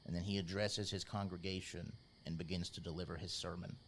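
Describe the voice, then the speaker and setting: A man narrating a tabletop role-playing game as game master, in a measured, informal, descriptive storytelling style. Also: deep voice, steady tone, a bit bright. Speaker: game master, podcast host.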